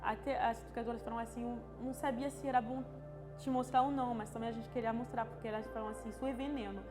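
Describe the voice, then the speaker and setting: A woman's voice: fairly high pitch, fairly even intonation. Woman telling her story in an interview, stops and restarts a lot, echoey with music behind. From France. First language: French. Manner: halting, searching for words, frequent restarts and tag questions. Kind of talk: personal testimony interview. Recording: long reverb with music underneath.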